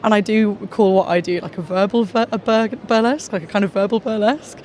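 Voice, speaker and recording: A woman's voice: medium pitch, fast, a little mellow. Brief: chatty female performer from northern England, giggly and frank, light noise behind. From around Liverpool, northern England. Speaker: spoken word comedian and cabaret host. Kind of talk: street interview. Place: outdoors on a busy street.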